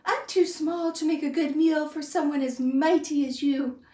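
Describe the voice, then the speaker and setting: A woman doing a squeaky little mouse. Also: steady tone, normal speed, mellow, reverberant, far from the mic, close to an everyday voice. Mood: pleading. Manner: squeaky, trembling pleas. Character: tiny timid male mouse, frightened and pleading.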